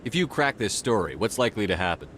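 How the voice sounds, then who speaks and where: Deep-voiced, no-nonsense space commander. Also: male voice, very animated, quick pace, a bit bright. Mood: probing, skeptical. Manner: direct, terse, controlled. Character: steady, no-nonsense male military commander of space opera. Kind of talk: video game dialogue. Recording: clear background ambience noise.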